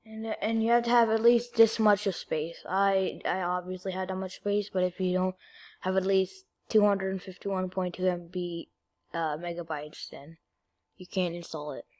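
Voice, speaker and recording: A female-sounding voice at a middling pitch walks through software steps. The delivery is informal and halting, in the manner of a homemade screen-recording tutorial. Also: fairly even intonation. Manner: informal, halting step-by-step instructions. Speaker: video creator giving a tutorial.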